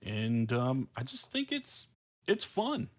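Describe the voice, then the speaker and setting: A man chatting casually as a podcast panelist, talking in a rambling, halting, self-deprecating way. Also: medium pitch, huge pitch swings. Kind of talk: casual fan chat.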